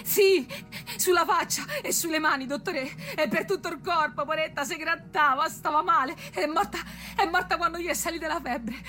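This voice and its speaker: An actress voicing a distraught, naive young granddaughter with a central Italian, Roman-area dialect, telling things in a breathless, colloquial way in a radio drama. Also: female voice, very high-pitched, normal speed, pushed and bright. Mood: plaintive, gushing. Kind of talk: theatrical radio drama acting.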